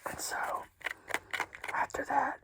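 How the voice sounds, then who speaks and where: A woman whispering a personal story in a hushed, tearful, casual way, swearing freely. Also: slow.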